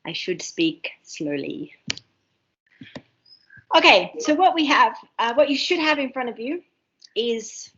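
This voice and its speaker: Fast-talking Australian woman tutor, lo-fi. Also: a little high, husky. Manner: fast, excitable, many ums and restarts. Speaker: university seminar tutor. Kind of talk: online seminar teaching. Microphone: video call.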